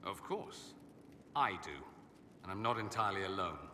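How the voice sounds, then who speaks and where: An actor playing a vain, sardonic young aristocratic mage in a video game, with a suave, theatrical and wry delivery. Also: male voice, fairly low pitch, expressive, normal speed, pushed and bright, echoey room, big-room sound, slight background noise. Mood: wry, explaining. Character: witty, vain aristocratic male mage, sardonic rebel exile. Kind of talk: video game dialogue acting.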